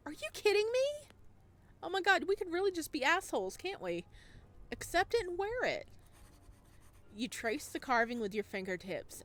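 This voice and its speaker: A woman reading visual novel narration aloud as a gaming host, with an expressive delivery that can break into reactions. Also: fairly high pitch.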